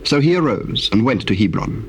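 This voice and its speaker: A man with a British accent narrating scripture in a formal, measured, solemn storytelling style. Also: lowish voice, big pitch swings. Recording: noisy old cassette tape with ambience.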